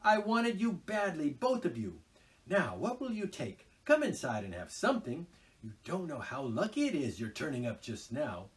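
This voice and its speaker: A man's voice: fairly high pitch, huge pitch swings, normal speed, recorded from a distance, voice pushed up. A man doing a boisterous boastful toad. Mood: delighted. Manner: loud, gushing, exclamatory. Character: boastful rich male toad, boisterous and excitable.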